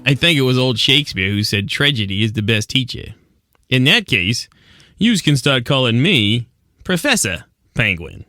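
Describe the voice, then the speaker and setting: A man's voice: medium pitch, sing-song, normal speed, bright, forceful tone. A man playing a cynical American penguin private eye, narrating in slangy, world-weary 1940s noir style. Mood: wry. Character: hard-boiled penguin detective, cynical and guilt-ridden. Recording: music behind the voice.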